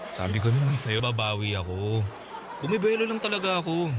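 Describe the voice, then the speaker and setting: A man's voice: mid-range voice, sing-song, a little fast, natural voice. A man playing a reassuring busy boyfriend. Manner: reassuring, insistent. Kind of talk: radio drama acting. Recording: audible background hiss.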